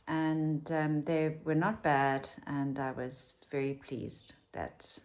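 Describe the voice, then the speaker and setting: A South African woman in her fifties talks to camera at home in a low voice with a gravelly fry. She rambles candidly and drops to a whisper for asides. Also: fairly even intonation, slow, a little mellow. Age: 50s. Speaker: vlogger. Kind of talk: casual talk to camera.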